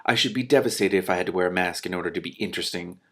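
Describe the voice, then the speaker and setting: A man's voice: low-pitched, rapid-fire, husky. Man playing a haughty, simpering young noblewoman. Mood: disdainful.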